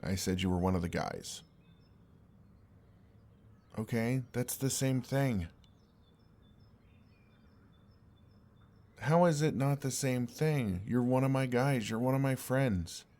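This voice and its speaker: A young man playing an exasperated, earnest and oblivious best friend in an intimate audio roleplay, speaking in a halting, conversational way. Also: mid-range voice, a little mellow, lightly acted. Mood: frustrated, confused.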